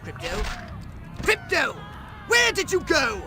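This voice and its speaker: A man's voice: very high-pitched, wildly swooping pitch, slow, pushed and bright, heavily acted. A man playing a pompous, scheming alien commander in a video game, speaking in a wordy, theatrical way. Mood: alarmed. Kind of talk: video game cutscene acting. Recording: music behind the voice.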